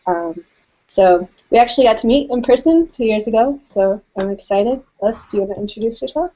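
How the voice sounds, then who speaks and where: A woman's voice: on the high side, lively intonation, fairly quick, mellow. A woman hosting an online panel, enthusiastic and full of "um" fillers. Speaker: host. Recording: dull lo-fi online call audio.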